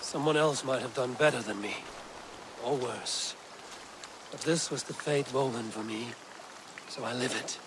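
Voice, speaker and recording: A man playing a stern but compassionate Viking clan leader in a video game, speaking in a firm, measured, formal way. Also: mid-range voice, noisy background, close to an everyday voice. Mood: solemn, consoling. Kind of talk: video game cutscene acting.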